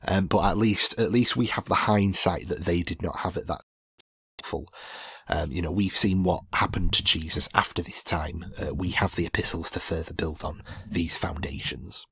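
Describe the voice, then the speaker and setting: A man's voice: sits low, normal speed, gravelly, a bit bright, slightly rough, no echo. A man teaching a Bible study over slides, thinking aloud with many fillers and restarts, his voice groggy.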